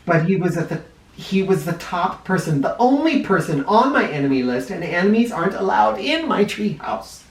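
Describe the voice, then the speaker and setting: A man's voice: sits high, sing-song, normal speed. A man reading a children's story aloud expressively, with dramatic emphasis, as if speaking straight to young listeners. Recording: roomy, echoing, somewhat distant microphone.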